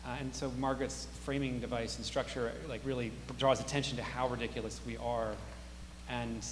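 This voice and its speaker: Male moderator, mid-pitched and brisk, intellectual and reflective, live in an echoey hall. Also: flat delivery. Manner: reflective, intellectual, appreciative of speakers. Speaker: moderator of an audience discussion. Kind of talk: live discussion moderating, thoughtful and off the cuff. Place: large auditorium with a balcony. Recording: echoey reverberant hall, faint hum and noise.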